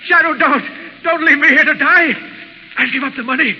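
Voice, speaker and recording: A desperate cornered crook, crying out in very high panicked pleas. It is 1930s radio melodrama, with long reverb on a muffled old recording. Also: male voice, normal speed. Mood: panicked pleading. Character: cornered male criminal, cowardly and desperately pleading.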